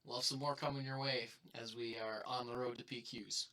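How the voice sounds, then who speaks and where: A man's voice: medium pitch, steady tone, a little fast, sharp tone. A man chatting casually about card game strategy as the co-host of a channel. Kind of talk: casual deck discussion.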